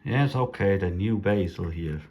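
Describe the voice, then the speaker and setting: A man with a non-native English accent casually narrating a hands-on demonstration as a radio hobbyist, speaking haltingly in short, simple phrases. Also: deep voice, mellow. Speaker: radio repair hobbyist presenting.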